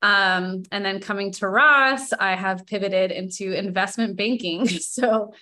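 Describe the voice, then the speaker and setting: A woman's voice: medium pitch, unhurried, pushed and bright. Chatty woman with frequent fillers. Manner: conversational, many "um" fillers, laughs lightly. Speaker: podcast guest and board member. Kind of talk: casual podcast conversation.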